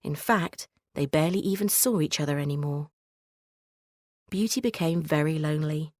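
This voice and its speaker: A woman storyteller giving a measured, expressive scripted narration of a children's bedtime story. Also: sits low, no room sound, studio quality.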